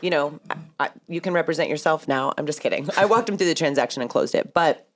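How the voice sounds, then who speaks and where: Chatty low-voiced woman podcast host. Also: fast. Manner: chatty, laughs often. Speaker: podcast host and real estate agent. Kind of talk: casual podcast chat.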